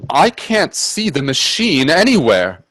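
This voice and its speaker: Casting: male actor as cautious Edwardian time traveller, earnest, slightly high animated voice, close dry sound, radio drama. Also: a little slow, no echo, heavily acted. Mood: wary. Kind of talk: radio play acting and narration.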